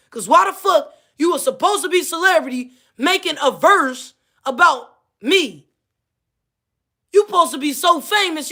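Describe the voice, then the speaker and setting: A woman's voice: high voice, a bit bright. A woman with a Missouri accent, ranting casually to camera, heated and profane, repeating herself. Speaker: vlogger.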